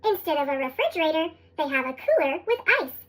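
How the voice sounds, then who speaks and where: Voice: high-pitched, animated, fast, fairly bright tone. A narrator reading a children's storybook expressively through a sped-up chipmunk effect. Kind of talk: scripted storybook read-aloud for young children.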